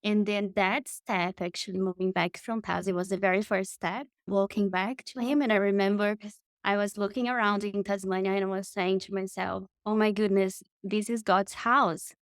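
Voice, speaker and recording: A woman's voice: mid-range voice, steady tone, normal speed, no room sound. A woman in her thirties from Brazil with a Brazilian Portuguese accent, a guest telling her story in an interview with enthusiasm and frequent restarts. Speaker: guest, marketing consultant.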